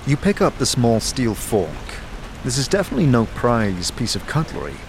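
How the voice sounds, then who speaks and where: A man's voice: medium pitch, very animated, moderate pace, noisy background. A man reading scripted fantasy narration dramatically, as the storyteller of an audio drama.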